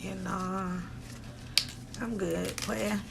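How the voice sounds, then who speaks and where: A woman's voice: mid-range voice, steady tone, drawn-out words, vocal fry, noisy background. A woman hosting a reaction video, blunt and slangy in casual, sweary commentary.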